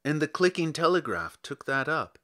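A man narrating literary fiction in a theatrical, deliberate way, drawing out phrases with pauses between them. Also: fairly high pitch, sing-song, a bit bright. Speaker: narrator reading a short story.

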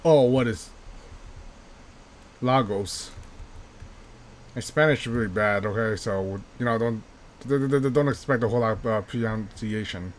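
A man's voice: medium pitch, normal speed, pauses often, slight background noise. A man giving casual let's-play commentary, chatty and self-mocking, repeating himself when things get tense. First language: not English, by his own joke. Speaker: gaming YouTuber.

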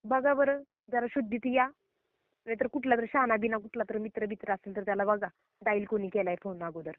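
A woman's voice: mid-range voice, fairly even intonation, a little fast, dry recording. A woman with a Maharashtrian Marathi accent, scolding emphatically and indignantly in a heated phone argument. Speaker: prank call recipient. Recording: narrow tinny phone-call recording.

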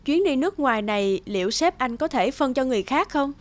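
A woman voicing a gentle, affectionate and trusting wife in an animated moral drama. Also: high voice, fairly quick. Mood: concerned. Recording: music under the dialogue.

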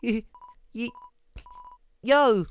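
A woman's voice: a little high, drawn-out words. A woman voicing an eager, timid but loyal young monster kid in a video game, stammering and earnest. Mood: nervous, brave. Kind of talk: fan-dub game voice acting.

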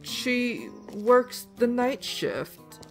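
A woman's voice: on the high side, animated, drawn-out words, creaky, soft background music, heavily acted, poor audio. A woman playing a breezy, flippant young shop co-worker in an audio drama. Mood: casual, puzzled.

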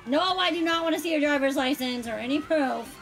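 Woman, 30s, high-pitched streamer host, chatty and loose, heavy on "like". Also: brisk, fairly bright tone, faint music behind. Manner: chatty, fills speech with "like". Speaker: streamer hosting a game stream. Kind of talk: casual chat while gaming.

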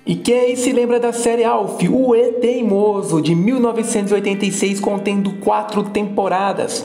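Female Brazilian host, medium pitch, scripted but chatty voice-over on a music bed. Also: darkish tone. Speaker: host and narrator of a retro nostalgia video channel. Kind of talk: scripted voice-over narration with casual asides. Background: background music.

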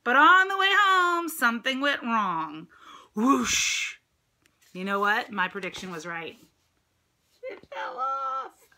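Bright-voiced American woman reading a picture book. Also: on the high side, slow, pauses often. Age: middle-aged. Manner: expressive, exaggerated reading with questions to listeners. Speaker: storybook reader, teacher reading to children. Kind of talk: read-aloud of a picture book with chatty asides to young listeners. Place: indoors at home, room with dresser and ceiling fan. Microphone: phone or tablet at arm's length.

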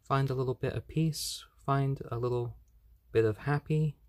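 A man hosting a casual live stream at home on his phone, speaking gently to viewers and to baby chicks. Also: mid-range voice, steady tone, normal speed, background noise. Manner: gentle, soft-spoken, talks to chat and chicks. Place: home room. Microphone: handheld phone.

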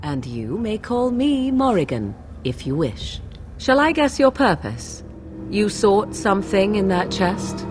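A haughty young witch of the wilds, speaks in archaic, mocking phrases for a fantasy game. Her delivery is slow and swoops in pitch, with music clearly behind it. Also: sing-song. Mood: aloof. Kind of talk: theatrical fantasy game acting.

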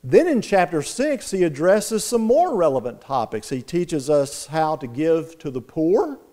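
A man's voice: big pitch swings, unhurried. Preaching man. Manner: earnest preaching, swelling louder and higher on key points. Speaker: pastor. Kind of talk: sermon. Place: church sanctuary.

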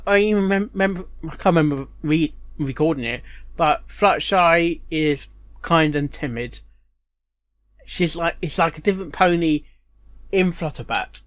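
A man hosting a reaction video in casual, rambling commentary, haltingly repeating and restarting his phrases. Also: on the high side, no room sound. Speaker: reaction channel host.

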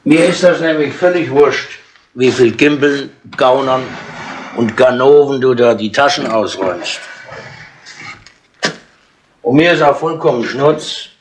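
An actor playing a shrewd, patronising older London chief inspector in a wry, colloquial way, in 1960s radio drama style. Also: male voice, medium pitch, unhurried, pauses often, lightly acted. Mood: wry, businesslike. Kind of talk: 1960s German radio crime drama acting. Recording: noisy 1960s radio tape with clicks.

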